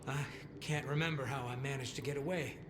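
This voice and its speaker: A man's voice: medium pitch, steady tone, brisk, noisy background, close to an everyday voice. A man playing a rattled, haunted stalker survivor in a video game, speaking hesitantly. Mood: shaken. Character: rattled male stalker survivor warning of a poppy field. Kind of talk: video game character dialogue.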